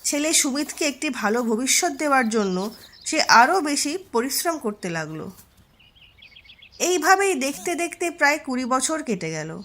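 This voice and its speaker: A woman's voice: high-pitched, very animated, moderate pace, fairly bright tone. A woman narrator reading a scripted storybook tale for a cartoon.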